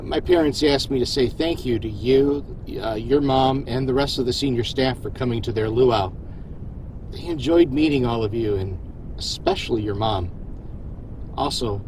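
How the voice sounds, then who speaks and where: Man playing earnest starship captain, rumbling engine noise. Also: expressive, normal speed, darkish tone, natural voice, rough recording. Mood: warm, friendly. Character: earnest, reflective male starship captain, modest and steady. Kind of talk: science fiction audio drama acting.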